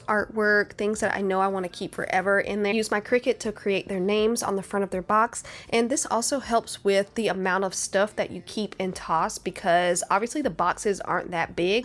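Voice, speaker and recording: A woman in her thirties, a homemaking vlogger, talking casually in a voice-over about her home and kids. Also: medium pitch.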